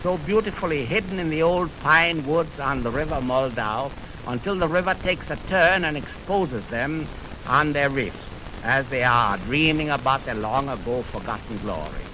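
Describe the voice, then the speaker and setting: A man hosting a 1920s radio music program, lecturing in a formal, oratorical, measured way on a crackly old broadcast recording. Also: medium pitch, expressive. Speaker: radio host introducing orchestral music. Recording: noisy, crackly 1920s optical film radio aircheck.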